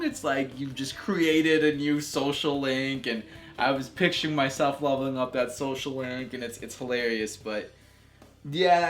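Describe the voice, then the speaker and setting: Casual video game host, mid-pitched voice, enthusiastic and rambling, music under the commentary. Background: background music.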